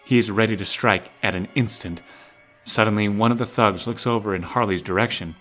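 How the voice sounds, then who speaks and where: A man's voice: fairly low pitch, steady tone, a little fast, fairly bright tone, faint music behind. A man reading scripted narration as the narrator of an audio drama.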